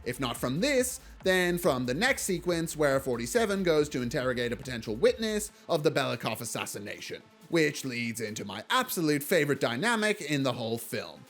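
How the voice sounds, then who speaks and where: A man's voice: mid-range voice, sing-song, moderate pace, few pauses, a bit bright, soft background music. A man narrating a scripted video essay as a film reviewer, in a wry, casual, joking manner.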